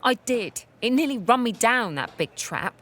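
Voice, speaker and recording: A woman's voice: on the high side, very animated, normal speed, fairly bright tone, faint music behind. A woman playing a polite, hard-up working customer. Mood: polite, weary.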